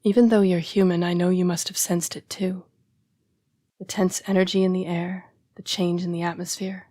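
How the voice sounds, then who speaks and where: A woman playing a young-seeming, formal and apologetic female vampire in an intimate audio roleplay, breathy and often dropping to a whisper. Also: fairly low pitch, level tone, warm tone, no room sound, clean studio sound, lightly acted. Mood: somber, earnest. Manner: formal, polite, measured phrasing. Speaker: vampire council's correspondence assistant.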